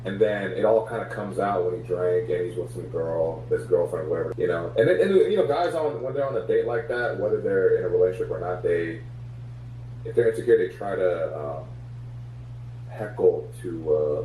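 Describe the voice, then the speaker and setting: A man's voice: low-pitched, normal speed, dark tone. A man, a comedian guest in a casual interview, talking things through reflectively with many fillers. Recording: echoey room, distant mic, faint hum.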